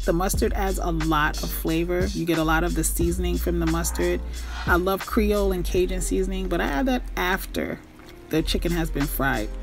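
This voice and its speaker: Upbeat woman narrating a home recipe. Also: on the low side. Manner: upbeat, chatty, speaks straight to viewers. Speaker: cooking blogger and channel host. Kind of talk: casual recipe voice-over. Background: music.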